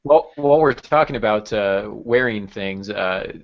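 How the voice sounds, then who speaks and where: A man's voice: lowish voice, measured pace, highs cut off. A man chatting casually as a podcast co-host. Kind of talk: casual chat.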